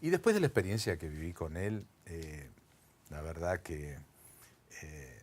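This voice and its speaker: A man, an actor, speaking in an interview in a heartfelt, halting way, pausing often. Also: low-pitched, animated. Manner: warm, emotional, halting. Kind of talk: interview answers.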